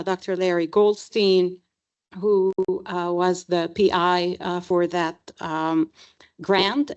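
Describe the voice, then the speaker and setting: A middle-aged woman, speaking as a neurologist on a conference panel, pausing often with ums and uhs. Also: mid-range voice, clear, resonant tone, highs cut off. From Lebanon. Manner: hesitant, frequent ums and uhs.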